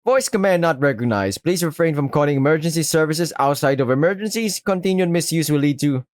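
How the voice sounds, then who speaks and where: A man's voice: a little high, animated, a little fast, dry recording, lightly acted. A man voicing an impassive automated phone menu with formal, scripted announcements. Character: impassive automated emergency phone line recording.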